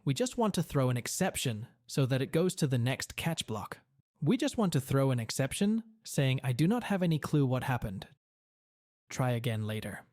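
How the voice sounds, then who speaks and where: Higher-pitched man teaching code, dry close sound. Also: darkish tone, no room sound. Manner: instructional, explains each step and its reason. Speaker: coding tutorial host. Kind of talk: step-by-step screen-recorded programming walkthrough.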